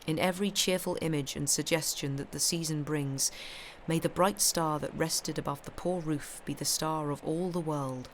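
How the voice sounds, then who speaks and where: A woman's voice: low voice, fairly even intonation, normal speed, few pauses, a little hiss or hum, low-quality recording. A woman hosting a live stream of festive readings from home, speaking into a streaming microphone. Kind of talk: expressive literary reading aloud; casual live-stream chat.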